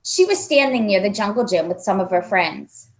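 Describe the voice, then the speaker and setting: A woman reading a children's story aloud as an expressive narrator. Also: medium pitch, normal speed. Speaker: storybook reader.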